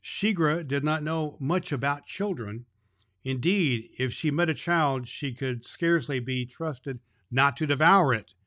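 A man's voice: on the high side, a little slow, pushed and bright, no room sound, clean studio sound. A man reading a children's story aloud as a storyteller, expressively, with small stumbles over the words.

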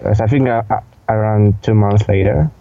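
An eighteen-year-old man with a Polish accent, giving casual interview answers in a hesitant, halting way with repeated words. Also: on the low side, creaky, dark tone, muffled recording. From Poland. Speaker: podcast guest, dance instructor.

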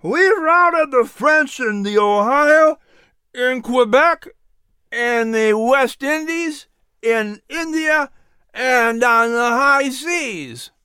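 A man voicing a rowdy, tipsy middle-aged colonial gentleman making loud toasts in a storybook. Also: very high-pitched, expressive, extremely slow, clear, resonant tone, dry recording, pitched above the performer's own voice, heavily acted. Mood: boisterous.

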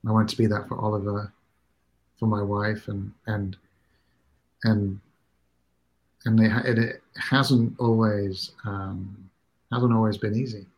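A man's voice: on the low side, little pitch movement, unhurried, long pauses. A man in his thirties from Singapore, a new father hosting the show, speaking earnestly and often repeating words as he searches for them. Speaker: host of a parenting talk show, new father. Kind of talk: casual conversation.

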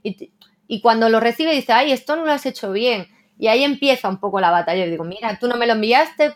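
A woman translator talking reflectively in a casual interview, often tagging her sentences with a questioning "¿no?". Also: fairly high pitch. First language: Spanish. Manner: reflective, frequent "¿no?" tags.

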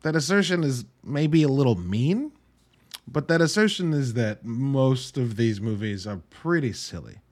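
A man's voice: mid-range voice, lively intonation, unhurried, darkish tone. Casual, wry male podcast host. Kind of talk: casual solo movie review.

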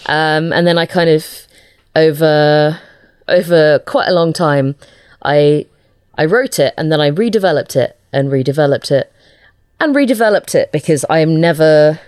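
A woman's voice: low-pitched, moderate pace, a little mellow, smooth, dry recording, studio quality. A woman chatting informally as an interview guest, with a self-deprecating way of talking. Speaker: writer and illustrator, interview guest. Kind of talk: casual interview chat.

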